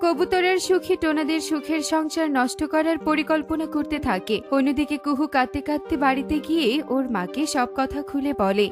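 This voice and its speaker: A woman's voice: sits high, brisk, few pauses. A woman narrator reading a scripted children's cartoon story. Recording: music bed behind. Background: music.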